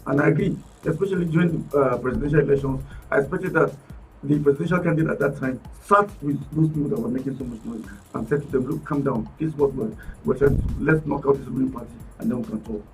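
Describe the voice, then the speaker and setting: A male guest on a radio panel, talking forcefully in a lecturing way. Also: medium pitch, quick pace. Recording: distant mic, faint music behind.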